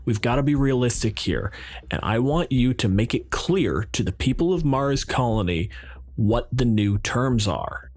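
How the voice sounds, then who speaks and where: A man playing a measured, bureaucratic colonial president in roleplay, speaking formally with deliberate, pointed pauses. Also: mid-range voice, expressive, a little mellow. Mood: firm, unyielding. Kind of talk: improvised roleplay dialogue.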